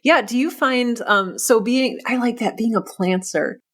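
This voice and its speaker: A woman's voice: fairly high pitch, a little slow, a bit bright. A woman hosting a conversational podcast interview, encouraging and rephrasing her questions as she goes.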